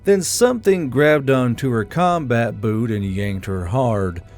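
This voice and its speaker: A man narrating an audiobook chapter in steady, measured storytelling. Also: medium pitch.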